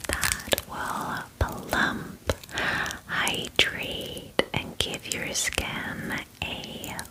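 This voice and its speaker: Slow whispering woman as a friendly dragon bestie. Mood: chatty, pampering. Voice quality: breathy whisper. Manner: soothing, chatty, slangy reassurance. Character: friendly, pampering female dragon spa healer, bubbly bestie. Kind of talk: whispered ASMR fantasy roleplay.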